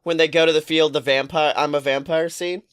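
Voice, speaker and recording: A man co-hosting a podcast in casual comedic chat, telling stories excitably and playfully, with some swearing. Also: fairly high pitch, a little fast, clean tone. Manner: excitable, playful storytelling with profanity. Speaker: podcast co-host.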